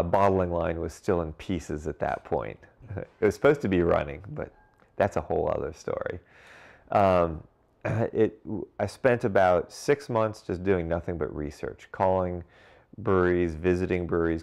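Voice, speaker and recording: A man's voice: deep voice, vocal fry, darkish tone. A middle-aged man, interview guest, telling his story in an easygoing conversational way. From grew up in Cincinnati and Brussels. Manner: easygoing, uses fillers and restarts, occasional light laughter. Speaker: interview guest, brewery founder.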